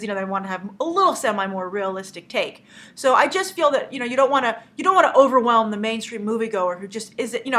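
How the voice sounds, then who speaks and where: Young female movie news host, congested from a cold, tense bright tone, a little high, loose and chatty with frequent fillers. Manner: chatty, many "uh" fillers, opinionated. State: stuffy from a cold. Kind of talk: casual news commentary.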